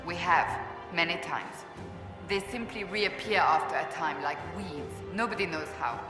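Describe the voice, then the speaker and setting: A woman's voice: fairly low pitch, unhurried, over music, close to an everyday voice. A woman playing a stern, righteous warrior in a video game, blunt, serious and formal in her delivery. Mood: stern, disdainful. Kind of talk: video game character dialogue.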